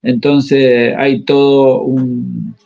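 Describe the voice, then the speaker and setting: A man explains coaching in an interview, often halting to search for the right word. His voice sits at an ordinary middle pitch. The recording is a bit dull and muffled. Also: flat delivery, gravelly, mellow. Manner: halting, pauses often to find words. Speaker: tennis coach. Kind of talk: interview answers. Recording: slightly muffled audio.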